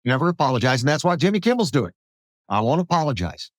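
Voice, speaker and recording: A man's voice: mid-range voice, fast talker, no echo, studio quality. An American man hosting a conversational political podcast, talking emphatically and acting out quotes as he makes his points. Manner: animated, emphatic, acts out quotes. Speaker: podcast host.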